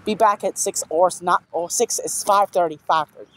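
A young man vlogging while walking outside, talking excitedly and repeating himself about an approaching storm, filmed on a handheld phone. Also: high voice, brisk. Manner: excited, repetitive exclamations. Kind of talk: casual walking vlog. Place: outdoors on a small-town street. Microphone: phone camera held at arm's length. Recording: outdoor street noise.

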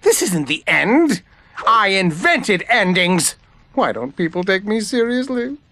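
Whiny cartoon inventor baddie, high sharp voice, boastful and petulant, music behind. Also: male voice, very animated, a little slow, no room sound, full character voice. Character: whiny, boastful, insecure male inventor villain. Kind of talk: animated video game cutscene acting.

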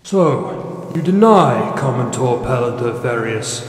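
A man's voice: mid-range voice, lively intonation, takes it slowly. A man playing a stern, sceptical military marshal in a science fiction audio drama, formal and accusatory. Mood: accusing. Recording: echoey large hall reverb.

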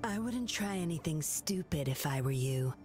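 A woman's voice: deep voice, expressive, normal speed, a little mellow, faint music behind. An actress playing a cunning young woman double agent, teasing and coolly commanding. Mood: sly.

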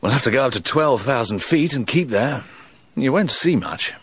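An actor playing a self-possessed, adventurous colonial gentleman aviator in naturalistic radio drama dialogue, laconic and understated. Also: medium pitch, lively intonation, normal speed, slightly rough, no room sound. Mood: eager.

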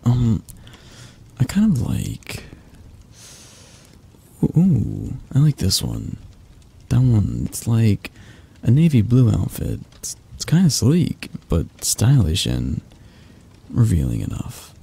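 Man acting a husky, breathy possessive mafia boss. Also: on the low side, huge pitch swings, slow, pauses often, warm tone, full character voice. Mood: pleased, playful. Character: possessive young male mafia boss, doting yet controlling. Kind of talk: ASMR boyfriend roleplay.

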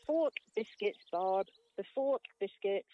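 A woman's voice: mid-range voice, expressive, slow, highs cut off, over loud music. An actor, a woman playing a busy, kindly, apologetic village mother in a radio play. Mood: cheerful, hurried.

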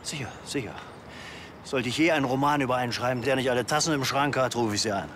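An actor playing an earnest, easily offended crime writer with a formal, prim way of talking in a TV crime comedy. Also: male voice, mid-range voice, quick pace, a bit bright, a little hiss or hum, natural voice. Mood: indignant.

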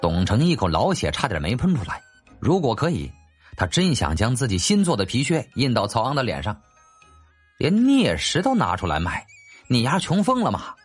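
一位男演播者以戏剧化的方式讲述历史小说，是照稿演播的有声书旁白。. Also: male voice, medium pitch, wildly swooping pitch, moderate pace, faint music behind. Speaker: audiobook narrator. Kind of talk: dramatic scripted narration of a historical novel.

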